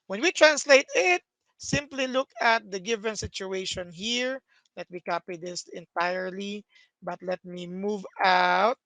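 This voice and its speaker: A man's voice: extremely high pitch, bright, forceful tone, dry recording, poor audio. A young man teaching a math lesson over a screen recording, explaining step by step with many "okay"s, recorded at a desk.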